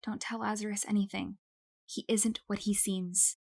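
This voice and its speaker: A woman playing a protective, secretive friend of a captive in an audio drama, speaking gently and reassuringly. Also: mid-range voice, level tone, moderate pace, vocal fry, no echo, studio quality.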